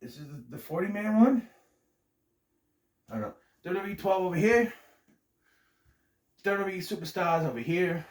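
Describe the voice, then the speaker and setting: Chatty man with a head cold. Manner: chatty, self-interrupting, lively pitch swings. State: getting over a cold, slightly nasal, clearing throat. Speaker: host of a wrestling review channel. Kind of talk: casual rambling vlog intro. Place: his room at home. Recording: echoey room.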